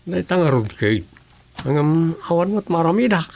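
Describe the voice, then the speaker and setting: A man's voice: fairly high pitch, big pitch swings, moderate pace, creaky. A man with an Ilocano accent playing a weary army lieutenant in a radio drama, earnest and complaining. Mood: resigned. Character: weary male army lieutenant leading a search.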